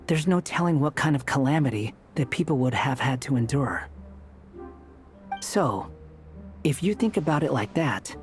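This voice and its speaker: An aloof, sardonic young man, played by a man speaks in dry, cutting remarks. His pitch is ordinary and mid-range. Game music plays faintly behind him. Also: expressive, normal speed, pauses often, natural voice. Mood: musing. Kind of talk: game cutscene voice acting. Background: background music.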